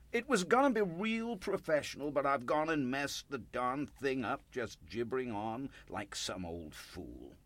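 A man's voice: medium pitch, no room sound. An actor in a radio play playing an earnest, nervous older born-again businessman with a folksy, pious, rambling way of talking. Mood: nervous, humble.

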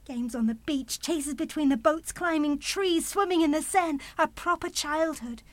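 A woman's voice: sits high, moderate pace, no echo, close to an everyday voice. An actress in a radio play playing a bitter, fiercely protective young mother, indignant and cutting in her delivery. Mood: firm, proud.

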